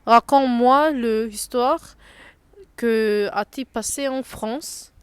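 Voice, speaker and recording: A woman interviewing her young cousin, reading prepared questions in a slow, halting way and sometimes restarting words. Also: a little high, smooth, no echo. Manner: slow, halting delivery with restarts mid-word.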